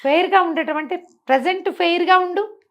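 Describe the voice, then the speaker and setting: A woman's voice: very high voice, fairly quick, highs cut off. A woman giving a conversational moral talk, teaching emphatically and often posing rhetorical questions. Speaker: storyteller explaining moral teachings.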